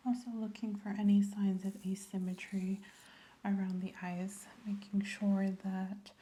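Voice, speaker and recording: A woman playing a calm, attentive doctor in an ASMR medical roleplay, speaking softly and often whispering as she gently instructs and checks in. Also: medium pitch, measured pace, lightly acted. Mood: calm, reassuring.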